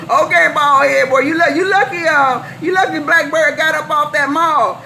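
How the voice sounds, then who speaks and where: American female CB operator, very high forceful voice, playful repetitive chatter, clear radio noise. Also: fairly even intonation, moderate pace. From California, US. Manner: playful, boastful, repeats phrases in a chant. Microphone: CB radio microphone. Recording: noisy CB radio transmission.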